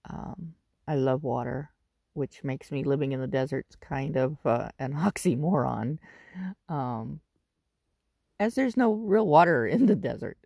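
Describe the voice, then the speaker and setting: A woman in her fifties from Northern California, raspy from smoke, talking about her life in a loose, rambling monologue full of ums and restarts. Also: extremely low pitch, lively intonation, a little slow, mellow, no room sound, studio quality. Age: 50s. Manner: rambling, with frequent ums and self-corrections. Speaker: podcast host. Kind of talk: casual personal monologue.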